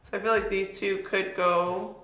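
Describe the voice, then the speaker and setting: A young woman with a raspy voice, chatting excitedly as she reviews a makeup product. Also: medium pitch, moderate pace, echoey room, low-quality recording. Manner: chatty, excited, filler-heavy. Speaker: beauty vlogger.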